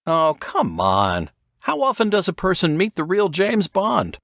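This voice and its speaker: A man's voice: high-pitched, wildly swooping pitch, measured pace, a bit bright, no room sound, studio quality, pitched above the performer's own voice, heavily acted. A man voicing a young, easily awed and eager agent in a thriller audiobook. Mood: eager, doubting.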